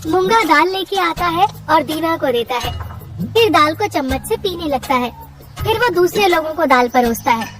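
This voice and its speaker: A woman's voice: high voice, lively intonation, moderate pace, distant mic, music in the background. A woman giving scripted narration for a cartoon story.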